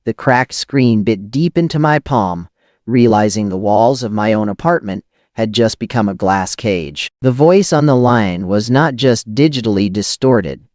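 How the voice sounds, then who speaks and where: A man's voice: fairly low pitch, lively intonation, measured pace, darkish tone, dry recording, clean studio sound. A man reading a first-person scripted thriller as an audio drama narrator, in tense, dramatic, clipped phrases.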